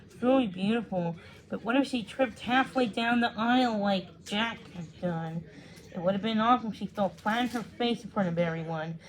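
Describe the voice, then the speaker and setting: A woman's voice: mid-range voice, moderate pace, low-quality recording. A woman reading a children's storybook aloud as a read-along storyteller, stumbling now and then over the text.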